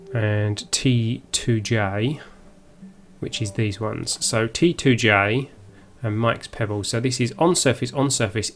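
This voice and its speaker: A man from Essex with a southern English accent, hosting a live gaming stream in a casual, unscripted way, often muttering to himself as he plays. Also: mid-range voice. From Essex, England. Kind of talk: casual live gameplay commentary.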